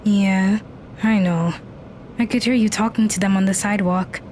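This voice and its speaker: A woman's voice: mid-range voice, fairly even intonation, moderate pace, a little mellow, slight background noise, natural voice. A woman playing a guarded, blunt teenage daughter in an audio drama. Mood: sullen.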